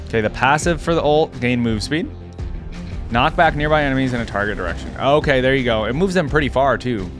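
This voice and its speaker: A man's voice: mid-range voice, a little fast, over music. A man giving casual reaction commentary on a video game, conversational and thinking aloud as he reads and adds asides. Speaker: YouTube reaction host.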